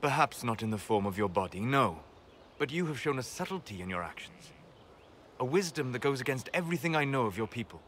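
A man playing a calm, reserved, scholarly elf mage in a video game, speaking formally in deliberate, measured phrases. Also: medium pitch, a little hiss or hum. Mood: grave, critical. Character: calm, scholarly, reserved elven mage, admiring yet condescending. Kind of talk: video game dialogue acting.

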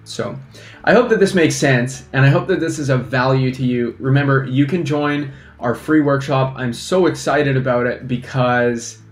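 A young man, a fly fishing instructor, giving energetic casual advice to camera at a desk microphone, often checking in with "right?". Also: medium pitch, normal speed, roomy sound, faint music behind. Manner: energetic, animated hand gestures, lots of "right?". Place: small indoor studio against a plain backdrop. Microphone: desk microphone in front.

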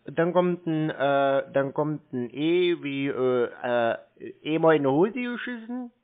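Male phone talker, slow and dithering, playing clueless, thin telephone audio. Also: fairly high pitch, lively intonation, clear, resonant tone. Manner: dawdling, feigned cluelessness. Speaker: prank caller toying with phone scammers. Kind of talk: slow, hesitant phone conversation, spelling things out. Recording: tinny narrowband phone line.

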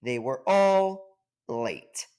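A man reads a children's story aloud as narrator. He takes his time, and his pitch moves expressively up and down through each sentence. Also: big pitch swings, drawn-out words, a little mellow, clear, resonant tone. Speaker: storybook reader.

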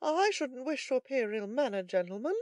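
A man's voice: extremely high pitch, big pitch swings, fairly quick, no echo, voice pushed up. A man voicing a polite, philosophical peacemaker in an audiobook, courteous and reasoning.

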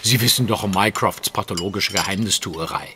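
A male actor plays a brisk, superior detective in an audio drama. The voice sits low, precise and wryly self-assured. Clear background noise with clinking surrounds it. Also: natural voice.

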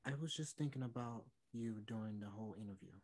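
A man's voice: fairly low pitch, voice pushed down. Young man acting a nervous, hesitant singer. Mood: earnest. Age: young adult. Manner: hesitant, halting. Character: nervous, earnest male singer seeking a hard talk. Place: indoor room on video call.

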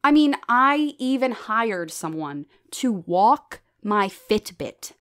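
A woman's voice: on the high side, sing-song, slow pace, a bit bright, exaggerated voice. A woman playing a self-deprecating stand-up comedienne in an audio drama, with a wry delivery built around punchlines. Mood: wry, joking. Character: self-deprecating plus-size female stand-up comedienne named Eleanor.

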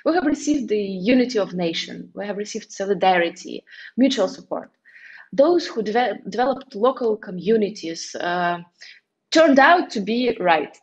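Casting: female activist speaker, Belarusian accent, mid-range voice, earnest and halting, dull video-call sound. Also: medium pitch, expressive. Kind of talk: online conference presentation. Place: small indoor room, on a video call. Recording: muffled, lo-fi video call audio.

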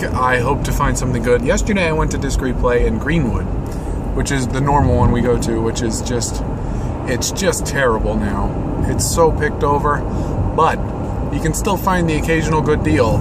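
A man in his twenties with an American accent, chatting casually and rambling as he records a vlog while driving. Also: medium pitch. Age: 20s. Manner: rambling, informal, mildly profane. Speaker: vlogger. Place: inside a moving car. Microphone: camera on the dashboard. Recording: loud car road noise.

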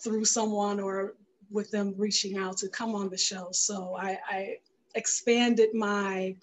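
A middle-aged woman giving reflective interview answers in a thoughtful way, pausing mid-phrase as she goes. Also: mid-range voice, level tone. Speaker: interview guest, filmmaker and radio show creator. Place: indoor room, video call. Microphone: headset on video call.

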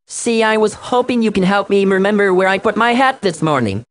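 A woman's voice: mid-range voice, expressive, brisk, natural voice. A woman voicing an earnest little male animal in a children's learning game, with a precise, fussy manner. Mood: curious. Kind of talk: children's educational game acting.

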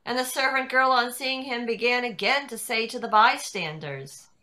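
An older woman reading scripture narration in a measured, deliberate way over a video call. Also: a little high, pushed and bright. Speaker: narrator in a church dramatic reading. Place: indoors at home on a video call. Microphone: video call computer microphone.

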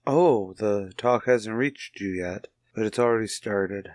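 A man playing a troubled, apologetic family friend in a radio play, speaking haltingly. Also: fairly low pitch, a little mellow, dry recording, studio quality, natural voice. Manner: halting, hesitant.

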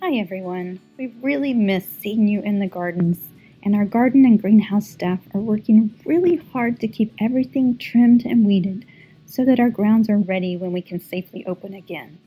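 A middle-aged woman, a garden manager, narrating a scripted plant tour in an informative, measured way. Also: medium pitch, mellow, husky, faint music behind, rough recording.

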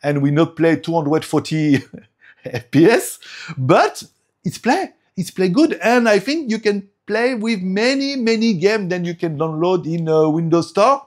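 A man's voice: high voice, lively intonation, measured pace. A man in his forties with a French accent, a native French speaker, talking casually in broken English and laughing often as he reviews tech at home. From France.

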